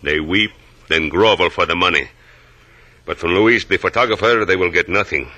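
A stern Cuban police officer in a vintage radio adventure. The voice is deep and piercingly forceful. His phrasing is formal, measured and mocking. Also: dull audio. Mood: grave. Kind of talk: 1940s-50s radio adventure acting.

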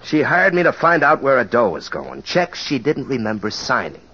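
An actor playing a cynical, wisecracking private investigator in an old radio drama, breezy and slangy with a raspy voice. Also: male voice, medium pitch, wildly swooping pitch, normal speed, bright, forceful tone, heavily acted. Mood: smug. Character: cynical, sardonic male private investigator, breezy wise guy. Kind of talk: 1940s-50s radio drama acting. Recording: dull old radio recording.

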